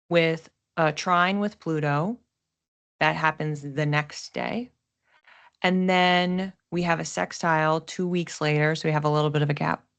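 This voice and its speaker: A woman hosting an explanatory astrology talk in a conversational, thoughtful way, with expressive emphasis on key words. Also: sits low, creaky. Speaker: astrologer host.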